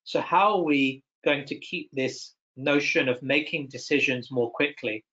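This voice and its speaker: Mid-pitched man on dull call audio. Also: unhurried, a bit bright. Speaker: banking executive, panelist. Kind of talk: considered panel answer. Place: indoor room, on a video call.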